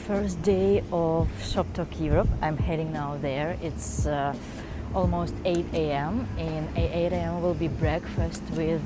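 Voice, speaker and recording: A young Ukrainian woman vlogs in accented, slightly broken English, eager and upbeat. Her voice sits low for a woman. Loud music plays under her the whole time. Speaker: vlogger and AI marketing specialist. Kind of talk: casual travel vlog to camera. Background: loud background music.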